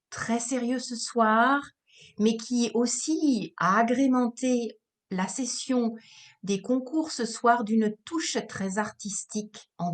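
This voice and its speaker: A woman hosting an online meeting, speaking in long, wordy sentences and repeating phrases as she searches for words. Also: fairly high pitch. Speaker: meeting host.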